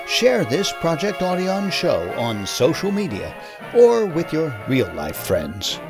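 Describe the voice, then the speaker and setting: A man gives upbeat closing remarks as host. His pitch swings very widely, and music plays behind him. He sounds animated and eager. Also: slow.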